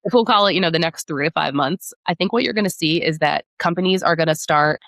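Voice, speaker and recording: Woman guest on a podcast, lots of vocal fry, explains stuff conversationally, really dry sound. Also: sits low, fast talker, fairly bright tone, no room sound. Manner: explanatory, often checks with "right?". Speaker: podcast guest, product marketing director. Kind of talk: conversational interview.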